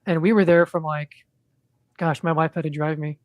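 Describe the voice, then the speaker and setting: A man chatting as a guest on a podcast, in a monotone, rambling way with lots of ums and restarts. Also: a little high, normal speed, dry recording. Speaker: podcast guest, indie comic creator. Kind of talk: casual podcast chat.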